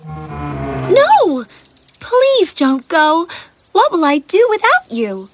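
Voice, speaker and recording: A woman's voice: sits high, huge pitch swings, very slow, creaky, faint music behind, pitched above the performer's own voice, full character voice. A woman voicing a sweet young princess, earnest and emotional, in a fairy-tale storybook. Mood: pleading. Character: sweet, pleading young princess, devoted and girlish.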